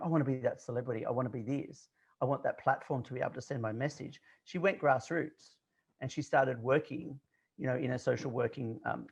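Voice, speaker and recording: A man's voice: mid-range voice, vocal fry, highs cut off. An Australian man in his forties, a confidence coach who talks bluntly and directly in a casual interview, with frequent fillers. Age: 40s.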